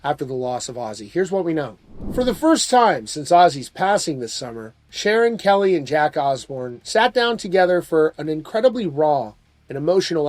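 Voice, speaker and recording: Higher-pitched man reading music news. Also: no room sound. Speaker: host of a music news show. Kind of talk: scripted news narration.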